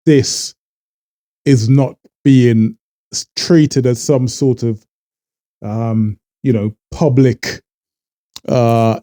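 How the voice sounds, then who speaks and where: A man from Trinidad, talking earnestly to camera and halting often with fillers as a vlogger. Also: medium pitch, dark tone. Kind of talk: casual talk to camera.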